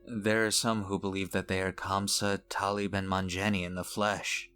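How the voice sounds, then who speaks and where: Guy acting gruff bitter clan survivor, deep voice, terse and grim, fantasy audio drama, faint music. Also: little pitch movement, moderate pace, sharp tone. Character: gruff, embittered man survivor of a stone clan.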